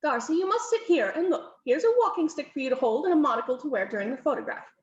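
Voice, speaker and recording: A woman voicing a bossy, imperious middle-aged countess who talks in a brisk, insistent, high-pitched way. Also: animated. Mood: bossy, fussing. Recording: roomy, slightly muffled home recording.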